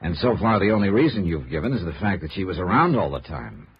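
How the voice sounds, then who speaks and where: Cool, wry American private investigator, 1950s radio noir. Low pitch, very lively inflection, clipped questioning. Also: male voice, fast, breathy edge, full character voice. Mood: probing. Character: cool, probing male freelance insurance investigator. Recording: dull, noisy old radio recording.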